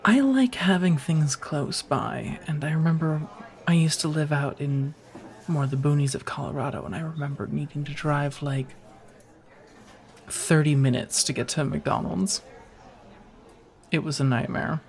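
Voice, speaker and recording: A woman's voice: deep voice, unhurried. A woman playing a friendly, teasing firefighter on a date in an intimate, casual ASMR romance roleplay, chatty and reassuring. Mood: friendly, curious. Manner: chatty, playfully wry, reassuring, sprinkled with soft laughs.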